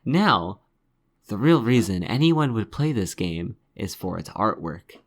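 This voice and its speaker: A man's voice: on the low side, big pitch swings, unhurried. A man delivering scripted game review commentary in a scornful, sardonic manner, swearing freely.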